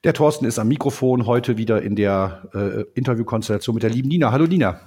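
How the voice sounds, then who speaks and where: A male podcast host with a husky, slightly hoarse voice and a blocked nose from a cold. He talks casually, with plenty of filler sounds, and steers a relaxed interview. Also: mid-range voice, fast talker. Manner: chatty, lots of filler sounds.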